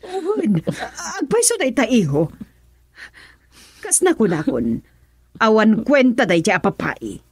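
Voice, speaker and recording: Excitable middle-aged Ilocano mother for radio drama, husky and high. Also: unhurried, pauses often, dry recording, clean studio sound, full character voice. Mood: agitated, shocked. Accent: Ilocano Filipino. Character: excitable, doting mother of a grown son.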